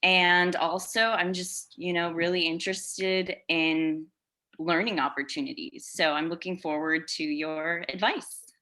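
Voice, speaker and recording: Lady with a lowish voice introducing herself on a zoom type call. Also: fairly even intonation, unhurried, sharp tone. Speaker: actress and producer. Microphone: video call.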